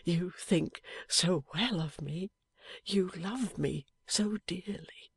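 A woman voicing a badly wounded young husband who speaks in a faint, halting, affectionate whisper in an audiobook. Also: fairly low pitch, creaky, slightly rough, no echo, voice pushed up, full character voice. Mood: faint, tender. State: weak from injury. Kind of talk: audiobook character voice.

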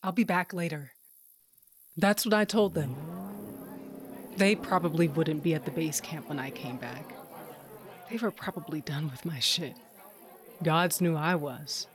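An actress playing a hardened, self-loathing monster hunter, narrating an audio drama in an intense, profane, confessional way. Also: female voice, low-pitched, a little slow, long pauses, crowd noise. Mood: bitter, brooding. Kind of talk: first-person audio drama narration with acted dialogue. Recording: music bed behind the voice.

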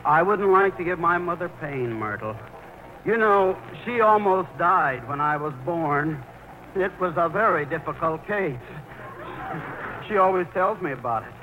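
A man's voice: fairly high pitch, expressive, a little slow. An actor playing a baffled, timid thirty-eight-year-old mama's boy in old radio comedy, hesitant and earnest. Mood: anxious, evasive. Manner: hesitant, earnest, stammering nervously. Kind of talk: 1940s radio comedy acting. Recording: muffled, noisy old radio broadcast.

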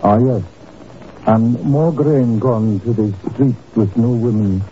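A man's voice: medium pitch, takes it slowly, a little hiss or hum, natural voice. A man playing a suave Indian rajah in an old radio drama, courtly and formal, with veiled menace. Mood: smooth, guarded. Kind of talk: 1950s radio drama acting. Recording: muffled old radio recording, faint music.

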